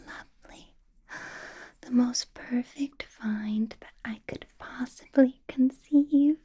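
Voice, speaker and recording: A woman playing a possessive, doting vampire boss in an ASMR roleplay, in a breathy whisper with a deliberate, coaxing, playfully menacing manner. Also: a little high, dark tone, exaggerated voice. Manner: slow, deliberate, coaxing, teasing.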